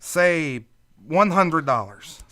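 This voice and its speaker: Man acting a bright-voiced, over-polite little schemer. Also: on the high side, animated, drawn-out words, exaggerated voice. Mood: courteous, hesitant. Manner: over-polite, hesitant, formal, precise phrasing. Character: small, dangerous, courteous Greek schemer with a gun. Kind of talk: old-time radio play acting.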